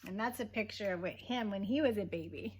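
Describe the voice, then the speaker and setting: A woman, a kindergarten teacher, reads a picture book aloud gently and expressively, pausing to ask young listeners questions. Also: medium pitch, husky.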